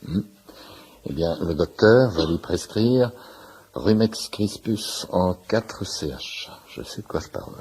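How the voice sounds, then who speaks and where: An actor playing a chatty, meddling patient who fancies himself a doctor, fussy and repetitive, in a French radio comedy. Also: male voice, low-pitched, animated, slow pace, darkish tone, slight background noise, exaggerated voice, poor audio. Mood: knowing. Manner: fussy, repetitive, self-important know-it-all.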